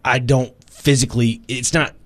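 Male cohost, mid pitch voice, casual rambly plainspoken chat. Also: fairly even intonation, moderate pace, fairly bright tone. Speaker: radio show co-host.

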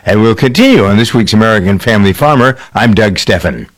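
A man's voice: fairly low pitch, expressive, fast talker. A man hosting a radio farm program, interviewing a guest in a conversational, probing way. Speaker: radio show host.

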